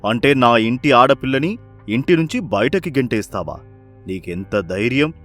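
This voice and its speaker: A man's voice: medium pitch, animated, moderate pace, a bit bright, soft background music, close to an everyday voice. A man playing an authoritative, fatherly village headman in a moral-story cartoon, with a formal, oratorical manner. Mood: indignant scolding.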